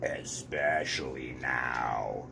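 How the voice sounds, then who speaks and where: A man's voice: rumbling low, extremely slow, gravelly, background noise, pitched below the performer's own voice, full character voice. A man voicing an anxious, grumpy, weary toad in a gloomy grumble, reading a storybook aloud. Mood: weary, annoyed.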